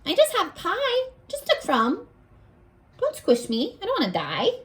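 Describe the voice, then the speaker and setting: Woman voicing a tiny, high-pitched pleading storybook ant. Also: huge pitch swings, measured pace, a bit bright, exaggerated voice. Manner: pleading, earnest.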